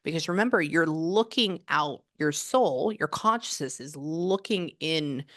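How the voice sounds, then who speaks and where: Low-pitched woman, rambling psychic reading, casual talk. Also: expressive, measured pace, fairly bright tone. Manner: chatty, rambling, frequent restarts and "like". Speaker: psychic medium. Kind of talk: casual reading on a video call.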